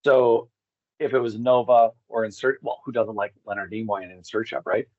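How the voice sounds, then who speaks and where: A man's voice: mid-range voice, fairly even intonation, normal speed, a little mellow, no echo. A man being interviewed, telling personal stories in a casual, rambling way and often breaking off to voice his own thoughts. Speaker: interview guest. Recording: dull lo-fi, dry sound.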